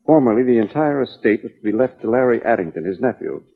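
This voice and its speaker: A man's voice: medium pitch, animated, fast talker, gravelly. A man playing a busy, reluctant financial advisor in a 1940s radio drama, curt and matter-of-fact. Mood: guarded, businesslike. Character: busy, reluctant male financial advisor, guarded but businesslike. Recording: tinny, narrow-band 1940s radio recording.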